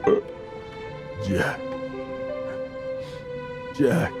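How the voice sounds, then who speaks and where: An actor in a video game dub playing a weary old adventurer haunted by guilt, speaking in a halting, emotional way. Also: male voice. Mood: sheepish, subdued. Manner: halting, emotional, self-reproaching. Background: prominent background music.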